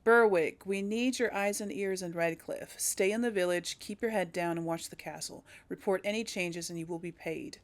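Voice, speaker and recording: A woman's voice: lowish voice, normal speed, hardly any pauses. A woman giving casual commentary as she role-plays through a video game, thinking aloud hesitantly. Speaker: video game streamer role-playing for an audience.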